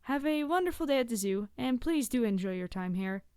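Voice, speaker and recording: Woman acting a high-voiced, awkward, chatty young biologist. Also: animated, brisk, a little mellow, clean tone, no room sound, clean studio sound, close to an everyday voice. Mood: shy, flustered. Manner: gushing, rambling, hesitant and flustered. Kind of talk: roleplay audio addressed to the listener.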